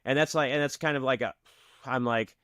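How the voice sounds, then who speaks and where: A man's voice: mid-range voice, fairly even intonation, brisk, a bit bright, clean tone, no room sound, studio quality. A man hosting an interview in casual chat, easygoing and agreeable, often chiming in with short replies. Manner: easygoing, agreeable, backchannels a lot. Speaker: interview host.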